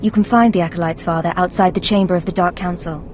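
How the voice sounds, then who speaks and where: A woman's voice: on the low side, steady tone, fast talker, a little mellow, faint music behind, close to an everyday voice. A woman playing a solemn informant at a Sith academy in a video game. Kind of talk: video game dialogue acting. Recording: noisy game capture.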